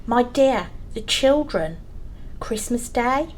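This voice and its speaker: A woman's voice: a little high, animated, takes it slowly, a little mellow, a little hiss or hum. A woman playing a kindly, devoted father in an audio play, tender and conciliatory. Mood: gentle pleading.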